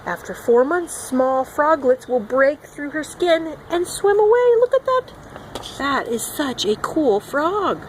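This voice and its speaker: Woman, high voice, children's picture-book read-along, teacherly, expressive delivery, faintly noisy recording. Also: slow. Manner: expressive, acting out animal sound words. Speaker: children's teacher reading aloud.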